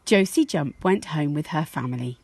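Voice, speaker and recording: A woman reading a children's story aloud like a teacher, expressive and measured in her storytelling. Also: low-pitched, creaky, dry recording.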